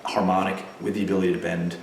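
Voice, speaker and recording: Relaxed low-voiced man in an echoey room. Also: fast talker, slight background noise. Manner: animated storyteller, acts out voices of others in anecdotes. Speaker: interview guest, stage actor and musician. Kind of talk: casual interview chat. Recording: echoey room, distant from the mic.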